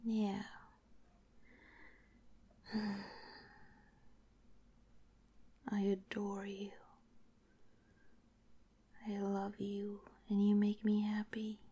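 A woman speaks in a breathy, intimate whisper, as in a romantic ASMR roleplay. Her delivery is very slow and halting, with little movement in pitch. The tone is soft, dark and affectionate. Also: monotone, dull audio.

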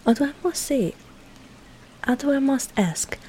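A woman playing a teasing young medieval maid in an intimate roleplay drama, coy and playful, often dropping into breathy, hushed tones. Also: high-pitched, animated, slight background noise. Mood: teasing, curious. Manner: coy, playful, soft breathy asides, slow deliberate phrasing. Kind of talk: intimate roleplay audio drama, partly whispered.

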